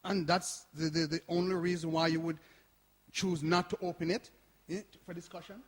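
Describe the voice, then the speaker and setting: An actor playing a principled, indignant older man in a stage play, halting and repeating words as he argues. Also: fairly high pitch, little pitch movement, lightly acted. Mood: earnest concern. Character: principled, indignant older man defending a youth community centre. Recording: echoey live hall performance.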